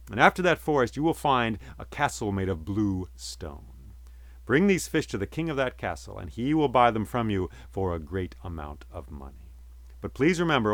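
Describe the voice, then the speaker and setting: A man voicing a giant male genie in a spoken folktale, pleading in a high voice while trapped and speaking gravely once free. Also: no echo. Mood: solemn. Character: pleading then solemn male genie, huge.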